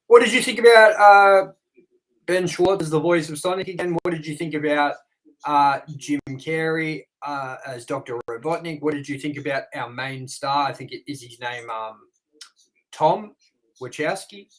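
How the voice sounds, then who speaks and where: Higher-voiced man co-hosting a review chat. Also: normal speed, fairly bright tone, poor audio. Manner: reads figures, then prompts partner with questions. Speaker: co-host.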